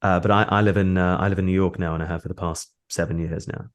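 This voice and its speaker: Deep posh british-accented guy, rambly and wry, chatting in an interview. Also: little pitch movement, quick pace. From Australia. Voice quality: weak nasals. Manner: wordy, discursive, politely wry. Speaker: voice actor being interviewed. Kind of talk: casual interview chat.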